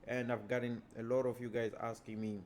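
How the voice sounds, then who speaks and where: A man whose first language is Portuguese, giving a casual lesson as a trading educator and walking through charts in a relaxed way that leans on repeated stock phrases. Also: medium pitch, a little fast, slight background noise.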